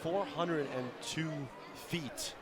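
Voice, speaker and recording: A man calling live baseball play-by-play for radio in a fluent, descriptive style that can build to shouts on big plays. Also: fairly high pitch, slow pace. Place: outdoor baseball stadium broadcast position. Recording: live ballpark with crowd noise behind. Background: crowd noise.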